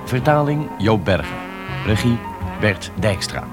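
A man's voice: lowish voice, very animated, measured pace. A man reading scripted credits as the announcer of a radio play. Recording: loud music under the voice.

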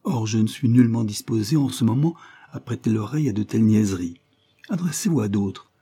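A man reading an audiobook, voicing a brash, quick-tempered young maid in a raised, vehement voice. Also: dark tone, breathy edge. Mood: heated. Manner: high-pitched, vehement, indignant. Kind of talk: audiobook character voice.

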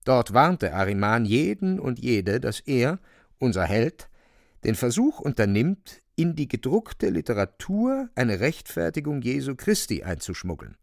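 Gravelly, biting, swooping storyteller. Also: male voice, mid-range voice, sing-song, a little slow, no room sound, studio quality. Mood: biting, indignant. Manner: emphatic, declaiming. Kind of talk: dramatic radio play narration.

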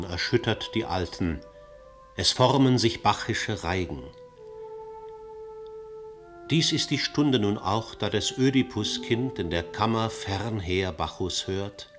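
An actor playing a solemn chorus narrator in a classical verse radio drama, with an incantatory delivery. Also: male voice, fairly low pitch, fairly bright tone. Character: solemn chorus narrator telling of a doomed princess and revelry. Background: music.

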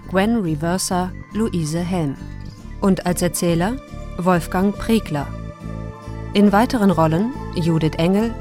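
A woman's voice: fairly low pitch, darkish tone. A woman formally reading the scripted closing credits of a radio play. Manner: formal, measured. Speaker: announcer of the credits. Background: music.

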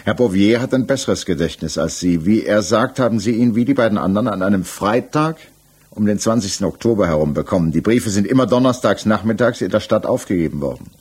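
Examining magistrate, low slightly husky voice, formal, probing, sceptical, 1960s radio crime drama. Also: male voice, animated, fairly quick, darkish tone. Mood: insistent, sceptical. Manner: formal, probing, pointed questioning. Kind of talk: 1960s German radio play acting, interrogation dialogue.

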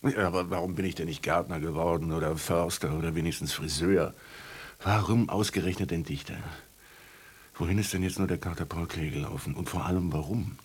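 An actor playing a grumbling, musing writer in a radio drama, muttering to himself in a husky voice. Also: male voice, deep voice, fairly even intonation, a little fast. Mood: frustrated. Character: grumbling, musing male writer fond of red wine.

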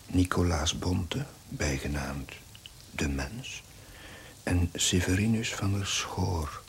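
An actor playing an earnest village chaplain who narrates his diary in a measured, formal, literary style for a television drama. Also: low-pitched, slight background noise.

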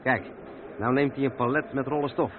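Actor as seasoned trucker on tinny radio. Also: male voice, mid-range voice, steady tone, fairly quick, close to an everyday voice. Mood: patient, explaining. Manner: explanatory, matter-of-fact. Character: Dirk, seasoned male truck driver, patient explainer. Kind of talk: radio play dialogue. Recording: tinny, noisy old radio recording.

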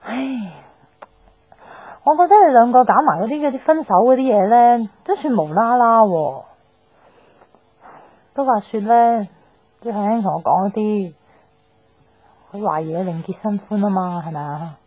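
A woman's voice: mid-range voice, a little slow, long pauses, a little mellow, natural voice, rough recording. A woman playing a blunt, teasing, nosy young woman in a radio drama, with slangy banter and a Hong Kong accent. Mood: nosy, insistent. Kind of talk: 1990s radio drama acting. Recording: radio drama with music underneath.

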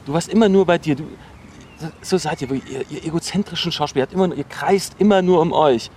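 An actor playing a bitter, obsessive ex-boyfriend in a naturalistic radio drama, speaking insistently in halting, repetitive bursts. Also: male voice, on the high side, a little mellow, slight background noise, natural voice. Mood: scornful, agitated. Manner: insistent, accusatory, halting with repeated words.

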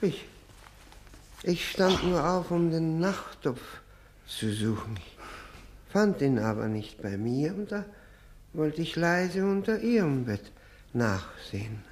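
Fawning, sly-meek widower: slow, halting, swooping pitch, vintage radio play manner. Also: male voice, big pitch swings, slight background noise, heavily acted. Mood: cowed, apologetic. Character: obsequious, self-abasing, tipsy widower, meek yet sly. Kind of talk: 1960s German radio drama acting.

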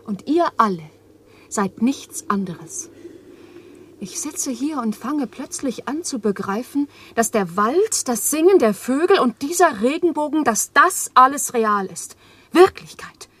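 An actress playing Eva, an overwrought and bitter young woman, in a radio play, speaking with impassioned swings from hushed to loud. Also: high voice, animated, a little slow, husky, heavily acted. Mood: intense. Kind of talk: 1980s radio drama acting.

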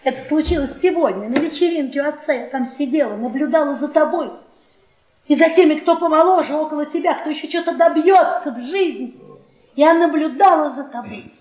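An actress playing an embittered, seductive middle-aged professor's wife, mocking and domineering in a theatrical radio-play manner. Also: female voice, sits high, brisk, darkish tone, lightly acted. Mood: bitter. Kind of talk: Soviet radio theatre acting. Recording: roomy echo, off the mic.

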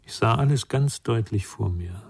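An actor playing a gentle, melancholy man in a radio drama, speaking with subdued patience. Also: on the low side, moderate pace, vocal fry, husky. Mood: gentle, wistful.

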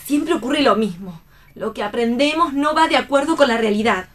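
Una actriz interpreta a una hija joven, inocente e idealista, dolida por amor, con tono serio de radioteatro. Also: female voice, on the high side, lively intonation, moderate pace, a bit bright, distant mic. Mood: sad. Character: wounded, idealistic innocent young daughter, romantic rival. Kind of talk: theatrical radio play reading.

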